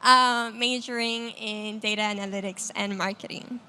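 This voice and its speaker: A young woman from the Dominican Republic introduces herself on a panel. Her voice is bright and sharp, friendly and lively. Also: medium pitch, moderate pace, clean tone. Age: young adult. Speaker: panelist, student.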